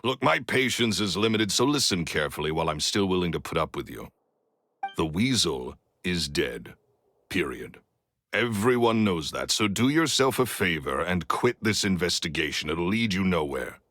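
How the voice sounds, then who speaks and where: A middle-aged former magician for a video game, low and booming, with big swings in pitch. He is curt, domineering and short of patience. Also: expressive, moderate pace, fairly bright tone, dry recording. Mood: irritated, dismissive. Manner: curt, domineering, hard-nosed. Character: gruff, impatient, foul-mouthed former stage magician in his late thirties.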